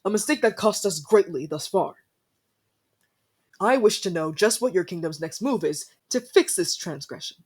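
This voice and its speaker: Breathy, haughty young ice prince, acted by a man. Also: sits high, animated, normal speed. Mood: stern, formal. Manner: formal, imperious, accusatory. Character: haughty young winter prince, indignant and easily flustered. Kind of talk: ASMR fantasy roleplay acting.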